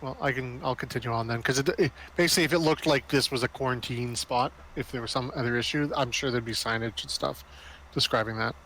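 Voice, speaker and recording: A man's voice: mid-range voice, fast talker, a bit bright, a little hiss or hum, rough recording. A man chatting casually as a player in a tabletop role-playing game.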